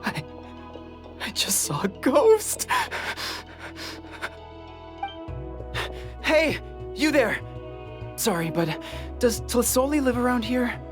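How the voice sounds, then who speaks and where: A woman's voice: fairly high pitch, very animated, slow, long pauses. A woman playing a frazzled, talkative delivery worker in a video game, exclaiming and faltering in a flustered way. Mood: panicked. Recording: game music behind the voice.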